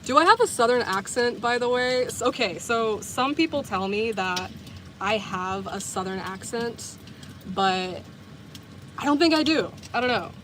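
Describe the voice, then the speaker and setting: A woman's voice: on the high side, animated, unhurried, fairly bright tone, a little hiss or hum. A woman in her twenties from the US South, chatting casually with livestream viewers, rambling with lots of "like" and self-corrections. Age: 20s. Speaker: vlogger and livestreamer.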